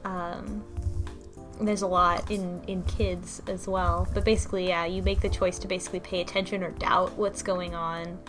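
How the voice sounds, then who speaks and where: A woman's voice: lowish voice, flat delivery, normal speed. A woman on a casual book club panel, talking in a wry, incredulous, conversational way. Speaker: podcast panelist. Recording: music behind the voice. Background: background music.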